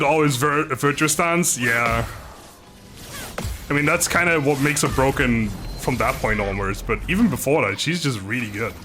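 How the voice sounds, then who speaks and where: A man's voice: medium pitch, bright, forceful tone. A man casually commentating a video game on a live stream, chatting with viewers in a rambling, good-humoured way.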